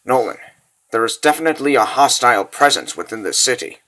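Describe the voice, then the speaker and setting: A man voicing a calm, formal protective machine companion in a comic dub, measured and dutiful. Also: mid-range voice, slight background noise. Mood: cautious.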